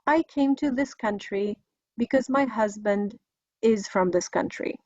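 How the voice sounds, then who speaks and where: Polish lady teaching english, talks slow n careful, kinda dull dry recording. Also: medium pitch, a little mellow, dry recording. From Poland. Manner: careful, instructional enunciation. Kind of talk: scripted video lesson with listen-and-repeat drills.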